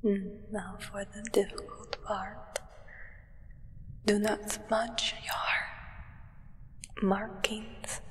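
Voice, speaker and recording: A woman's voice: medium pitch, little pitch movement, extremely slow, long pauses. A young woman voicing a solemn, reverent acolyte of a vampire sovereign in a ceremonial ASMR roleplay. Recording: long reverb.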